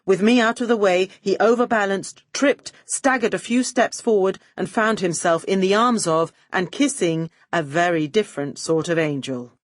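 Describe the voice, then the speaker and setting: A woman's voice: mid-range voice, normal speed, hardly any pauses, no room sound, studio quality. A woman reading a first-person detective story as an audiobook narrator, with a wry, arch and poised delivery.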